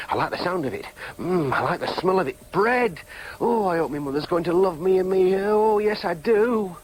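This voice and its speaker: Radio drama: anxious, hopeful young man, high voice, very animated, self-questioning inner monologue. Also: low-quality recording. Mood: eager, dreamy. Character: anxious, hopeful, searching young man seeking his parents.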